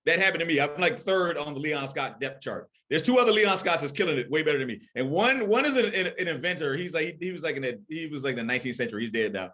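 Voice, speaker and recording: A man's voice: on the high side, animated, fast, few pauses, bright, forceful tone. A man performing a casual stand-up comedy set in a conversational, rambling, self-deprecating way.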